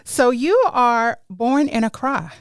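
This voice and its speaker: A woman's voice: on the high side, expressive, slow pace, smooth, no room sound, studio quality. A woman hosting a podcast interview in a casual, informal, bantering way. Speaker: podcast host interviewing a guest.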